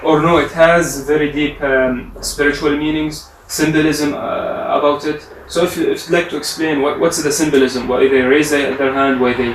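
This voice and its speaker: A man's voice: a little high, flat delivery, moderate pace, few pauses, distant mic. A man, a native Arabic speaker, asks long, meandering questions padded with hesitations and restarts. His voice sits back from the microphone and echoes in the room, with a faint noise underneath. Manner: rambling, hesitant questions full of fillers. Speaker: interviewer, host. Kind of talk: interview questions. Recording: roomy, off-mic, faint noise.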